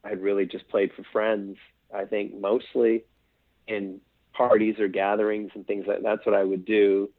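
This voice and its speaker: Man from Quebec, deep voice, rambling songwriter guest, phone-like dry line. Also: flat delivery, normal speed, no room sound. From Quebec, Canada. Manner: rambling and hesitant, many fillers and restarts. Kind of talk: casual interview chat.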